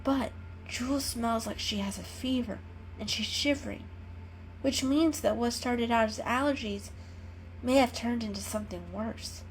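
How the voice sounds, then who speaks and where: Woman as an earnest, high-voiced caring cat. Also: a little slow, a little hiss or hum, natural voice, poor audio. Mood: worried, insistent.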